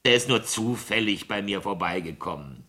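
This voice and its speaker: A man's voice: lowish voice, expressive, normal speed, bright, forceful tone. An actor in a 1970s radio play playing a wary, indignant man cornered by blackmail, curt and defensive.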